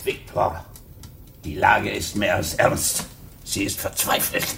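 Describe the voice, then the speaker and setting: Ominous Soviet hardliner, gravelly, very slow, slightly distant, faint crackle behind. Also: male voice, on the low side, fairly even intonation, fairly bright tone, heavily acted. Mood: grave, urgent. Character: grave Soviet hardliner, alarmed about the crumbling empire. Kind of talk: radio play acting. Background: faint fireplace crackle.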